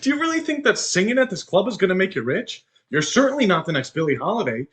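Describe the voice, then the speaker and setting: A young husband played by a male student actor in a stage drama, controlling and insecure. His pitch swings widely as he belittles his wife. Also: fairly bright tone. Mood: scornful. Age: young adult. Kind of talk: stage play dialogue.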